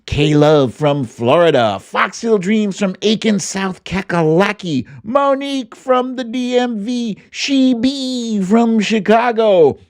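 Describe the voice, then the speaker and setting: A man hosting a casual livestream, talking at an unhurried pace with big swings in pitch. His delivery is playful and sing-song, and the sound is close and dry. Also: lively intonation, no echo. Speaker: livestream host. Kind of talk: casual livestream chat, reading out viewer greetings.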